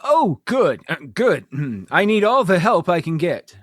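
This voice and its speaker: An actor playing a self-important, easily flustered male starship captain in a comedic radio play, with a halting delivery. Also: high voice, very animated, no room sound, clean studio sound, heavily acted. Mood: awkward, flustered. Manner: halting, stammering when awkward. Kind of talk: comedic radio theater acting.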